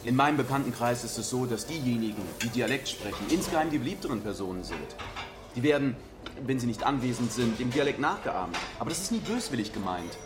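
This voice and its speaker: A man's voice: medium pitch, animated, quick pace, close to an everyday voice. A man playing an intellectual friend in a radio drama, explaining things precisely in conversation. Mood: explanatory.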